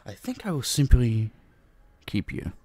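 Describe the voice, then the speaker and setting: A man voicing a possessive, centuries-old vampire in an intimate roleplay drama, slow and condescending, with a husky, breathy voice that drops into whispers. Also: mid-range voice, sing-song, mellow, dry recording, heavily acted. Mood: menacing, possessive. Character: possessive centuries-old male vampire, dominant, menacing captor.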